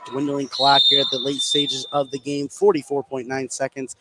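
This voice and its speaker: A man doing live play-by-play radio commentary on high school basketball, mixing game calls with conversational banter. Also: monotone, normal speed, very noisy.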